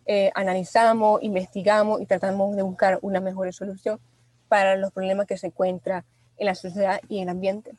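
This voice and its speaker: A young Venezuelan woman answering radio interview questions as an industrial designer, pausing often with fillers and restarts. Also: mid-range voice, level tone, darkish tone, no echo. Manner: hesitant, many fillers and restarts. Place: radio studio.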